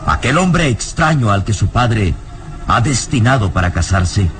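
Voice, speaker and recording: A man's voice: on the low side, very animated, measured pace, fairly bright tone. A man narrating an old radio serial in a solemn, literary, dramatic scripted style. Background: prominent music.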